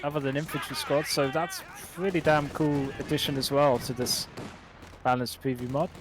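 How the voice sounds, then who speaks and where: A man giving play-by-play on a strategy game match with brisk, bantering delivery. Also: a little high, little pitch movement, noisy background. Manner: brisk, self-deprecating banter. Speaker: game caster. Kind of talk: esports play-by-play commentary. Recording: battle sounds under voice.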